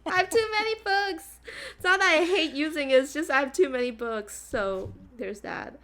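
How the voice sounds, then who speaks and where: A woman's voice: high voice, normal speed. A woman whose first language is Chinese, co-hosting a casual chat and laughing often. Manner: giggly, animated, excitable. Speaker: podcast co-host.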